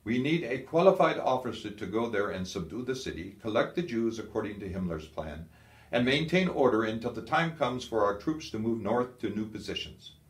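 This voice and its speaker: A man's voice: on the low side, animated, normal speed, close to an everyday voice. A man voicing a curt, scornful German field marshal, a commanding war veteran, in an audiobook. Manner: curt, authoritative. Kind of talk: audiobook character dialogue. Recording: echoey, roomy sound.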